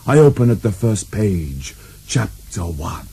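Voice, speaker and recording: A man's voice: fairly low pitch, very animated, a little slow, vocal fry, heavy background noise. A man hosting a comic radio show as its storyteller, musing theatrically as he picks a book. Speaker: storyteller host. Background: music.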